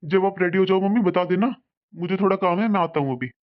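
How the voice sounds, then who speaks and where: A dull, lo-fi recording of a young adult son in a cartoon family drama voiced by a man. His voice is high for a man, and he sounds easygoing, practical and reassuring. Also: fast, natural voice. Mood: helpful. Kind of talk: animated family drama dialogue.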